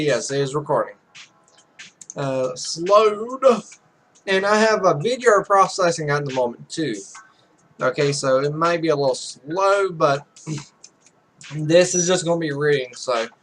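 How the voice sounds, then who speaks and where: A man's voice: on the high side, fairly bright tone, a little hiss or hum. A man hosting a casual let's play, reading visual novel text aloud and rambling in muttered asides. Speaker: host of a gaming video.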